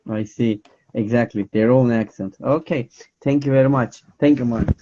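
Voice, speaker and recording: A man hosts an online talk, reading questions in simple phrasing and giving short replies. His tone is mellow and dark. His voice comes through a dull, lo-fi call line. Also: mid-range voice, normal speed. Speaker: host. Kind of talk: online interview hosting.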